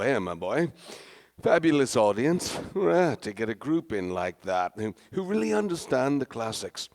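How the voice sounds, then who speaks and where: An actor playing a hammy, avuncular male stage star in a radio play, grandiose and theatrical. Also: medium pitch.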